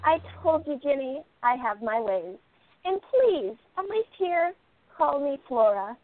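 A woman's voice: high-pitched, big pitch swings, measured pace. A woman playing a curious, confident exiled princess turned sleuth in a radio play. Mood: playful insistence. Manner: confident, insistent. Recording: thin phone-like sound, noisy line.

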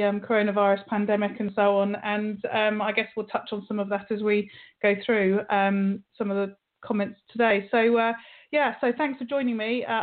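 A woman with a British accent hosting a radio interview show. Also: fairly high pitch. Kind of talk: scripted show introduction and conversational interviewing. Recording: narrow phone-line sound.

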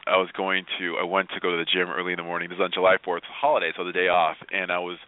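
A man speaking as an interview guest and motivational speaker, telling personal stories in an expressive, emphatic way and repeating phrases for effect. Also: low voice, rapid-fire, clear, resonant tone, dry recording, phone-quality sound. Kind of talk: animated personal storytelling in an interview.

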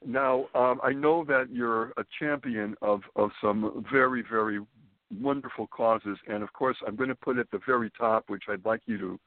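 A man's voice: medium pitch, steady tone, moderate pace, no echo. A man hosting a radio talk show, praising his guest effusively and stumbling over repeated words. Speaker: radio host. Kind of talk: casual radio interview. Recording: dry, tinny phone line.

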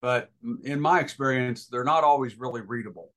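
A man giving informal, practical, step-by-step explanations as a guest expert in an interview, pausing hesitantly as he goes. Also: mid-range voice, a bit bright. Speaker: guest expert, boating safety educator.

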